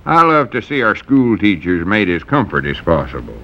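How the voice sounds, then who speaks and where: Fussy bashful old storekeeper, drawl, very deep voice, folksy, flustered, muffled old radio recording. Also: male voice, sing-song, normal speed, a little hiss or hum. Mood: grumbling. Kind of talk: 1930s-50s radio comedy acting.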